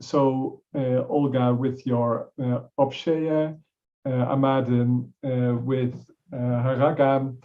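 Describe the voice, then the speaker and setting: A man's voice: medium pitch, little pitch movement, takes it slowly, phone-quality sound. A man moderating a panel discussion, politely inviting comments and questions in loosely phrased sentences.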